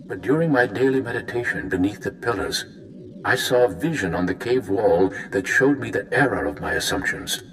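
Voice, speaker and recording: An actor playing a grave, visionary Jedi master speaking a measured, portentous recorded message in a video game. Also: male voice, on the low side, animated, roomy sound, a little hiss or hum, natural voice. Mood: solemn, foreboding.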